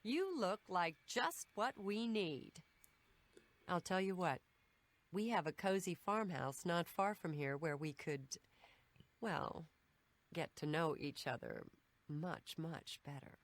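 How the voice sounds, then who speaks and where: An actress playing a sultry, coy Nordic seductress in a video game, coaxing and flirtatious in a deliberate way. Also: female voice, fairly low pitch, animated. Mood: inviting. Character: sultry Nord temptress luring a man, coy. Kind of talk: video game character dialogue.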